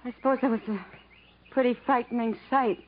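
High-voiced, plaintive jungle castaway woman an actress. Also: fairly even intonation, a little fast, lightly acted. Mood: shaken, subdued. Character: shaken but brave woman stranded in jungle. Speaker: radio drama actress. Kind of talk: 1950s radio acting, dramatic dialogue. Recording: narrow, faintly noisy vintage radio recording.

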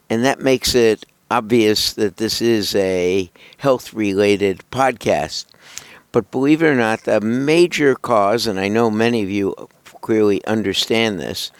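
Older male host, hoarse but smooth, slow and rambling, dry close sound. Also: lowish voice, no echo. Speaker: podcast host. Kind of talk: casual radio talk with ad read.

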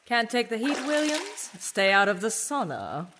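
A woman's voice: a little high, sing-song, unhurried, noisy background. A woman playing a combative, taunting board director in an audio drama. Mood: taunting, indignant.

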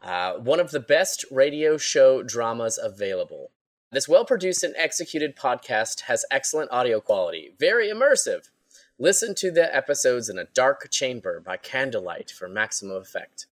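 A man's voice: medium pitch, very animated, normal speed. A man on a podcast panel reading listener messages aloud.

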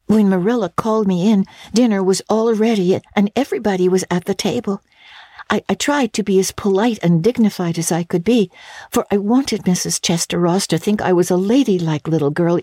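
A woman's voice: medium pitch, warm tone, no room sound, clean studio sound, voice pushed up, close to an everyday voice. A woman voicing an imaginative, earnest girl who talks breathlessly and theatrically with stammering restarts.

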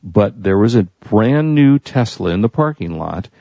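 A man's voice: on the low side, animated, measured pace, vocal fry, no echo. An American man hosting a talk show, chatting casually about news in a rambling, conversational way. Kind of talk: casual tech and consumer news chat. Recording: dull lo-fi audio.

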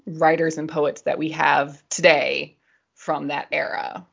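A woman's voice: sits low, flat delivery, slow, gravelly, breathy edge, highs cut off. A woman podcast host chatting casually as a nerdy, giggly explainer who wanders off on digressions.

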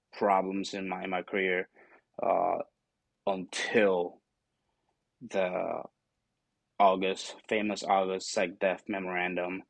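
Venezuelan man, guest, very deep and gravelly, halting answers, dry close sound. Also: dry recording. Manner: hesitant, many fillers and restarts. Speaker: guest, army sergeant. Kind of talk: interview answers.

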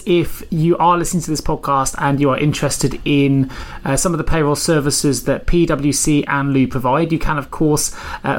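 Croaky-voiced male podcast host. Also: fairly high pitch. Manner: friendly, chatty, filler-heavy. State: croaky from a cold. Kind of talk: casual interview hosting.

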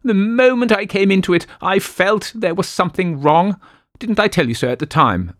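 A man voicing a fretful, self-pitying wife who plays the invalid, in a shrill, plaintive, theatrical way. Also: expressive, normal speed, no echo, clean studio sound.

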